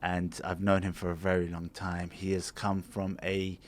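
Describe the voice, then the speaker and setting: A man's voice: low-pitched, completely flat delivery, moderate pace. A man co-hosting a podcast who introduces a guest and prompts him with eager questions. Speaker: podcast co-host. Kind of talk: casual interview chat.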